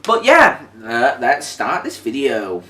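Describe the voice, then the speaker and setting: A man's voice: medium pitch, huge pitch swings, slow, sharp tone, a little hiss or hum. A man hosting an unscripted, casual review chat as the presenter of a video. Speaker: video host. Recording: roomy echo, distant mic, music.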